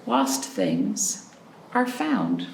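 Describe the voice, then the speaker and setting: A woman hosting a storytime for young children, reading expressively with drawn-out phrases and pausing to put questions to her listeners. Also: a bass voice, huge pitch swings, vocal fry. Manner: prompting listeners with questions and pauses. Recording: roomy, distant mic, faint noise.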